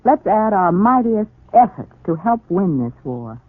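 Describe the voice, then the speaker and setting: A woman's voice: medium pitch, very animated, a little slow, no echo. A woman giving a solemn, earnest scripted address in 1940s radio style. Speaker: radio spokesperson. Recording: tinny 1940s radio recording.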